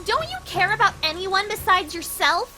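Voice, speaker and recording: A woman voicing a perky, earnest, excitable teenage schoolgirl in an anime fan dub. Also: very high-pitched, moderate pace, soft background music, full character voice. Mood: scolding.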